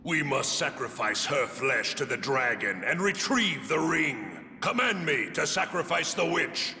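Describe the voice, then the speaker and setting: Bright-voiced, theatrical scheming royal retainer. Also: male voice, a little high, big pitch swings, a little hiss or hum, exaggerated voice. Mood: scheming urging. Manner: theatrical, mocking, drawn-out delivery. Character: sly, smug scheming male retainer of a king. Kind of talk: dramatic fantasy game voice acting.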